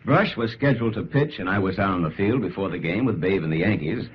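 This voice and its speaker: Punchy sports reporter, American accent, lively pitch, old-time radio narrator, muffled hissy recording. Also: male voice, quick pace. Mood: dramatic storytelling. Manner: earnest, punchy, emphatic. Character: enthusiastic male sports reporter, the ballplayer's pal.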